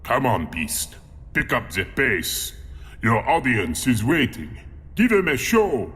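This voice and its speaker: A man's voice: mid-range voice, sing-song, slow pace, fairly bright tone. A man voicing a cruel, theatrical showman who taunts and proclaims like a carnival barker. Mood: menacing, sly. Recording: long hall reverb.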